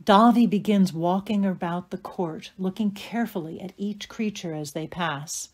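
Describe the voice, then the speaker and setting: A woman performing as the narrator of a dark fairy tale audio play, in scripted dramatic narration. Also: mid-range voice, normal speed, lightly acted. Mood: measured narration.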